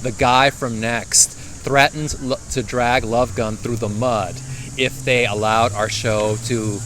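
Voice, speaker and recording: A man's voice: medium pitch, steady tone, slow. A man co-hosting a casual podcast outdoors in a city park, dry and teasing in manner. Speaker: co-host. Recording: faint street noise.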